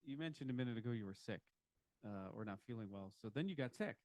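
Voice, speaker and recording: A man's voice: medium pitch, animated, fast talker, darkish tone, no room sound. Rambling joking man on a podcast. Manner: rambling, restarts often, joking. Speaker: podcast co-host. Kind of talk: casual chat. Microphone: home podcast mic, video recorded.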